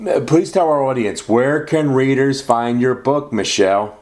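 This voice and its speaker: A podcast host interviews an author. He speaks at a middle pitch and asks his prepared questions in a measured way. Between answers he adds short acknowledgments. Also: a little mellow.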